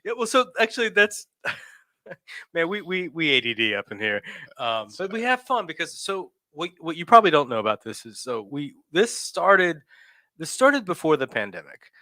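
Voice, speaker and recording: A man's voice: a little high, big pitch swings, normal speed, sharp tone, no room sound. A man in his forties hosting a podcast, chatting casually, rambling and correcting himself, laughing often. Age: 40s. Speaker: podcast host. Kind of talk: casual chat.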